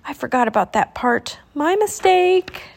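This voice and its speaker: A woman's voice: high-pitched, expressive, unhurried, gravelly, voice pushed up, exaggerated voice. A woman voicing a goofy, impulsive young female genie in a storybook, carefree and silly.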